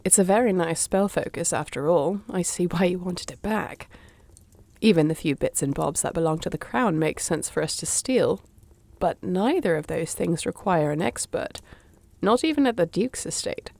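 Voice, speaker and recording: A woman's voice: lowish voice, expressive, moderate pace, vocal fry, a little mellow. A woman playing a wry, shrewd thief for hire in a fantasy audio drama, with a dry, teasing, understated delivery. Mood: probing. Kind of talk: fantasy audio roleplay acting.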